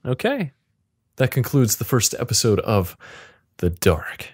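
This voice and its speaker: A man's voice: medium pitch, very animated, measured pace, slightly rough, no echo, clean studio sound. A man casually narrating an interactive fiction game as its host, with a playful, joking manner.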